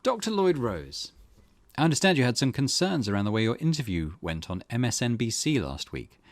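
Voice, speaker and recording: A man reading the part of a formal, businesslike woman publicist in a visual novel, with measured corporate phrasing. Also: mid-range voice, sing-song, no room sound. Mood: polished, businesslike.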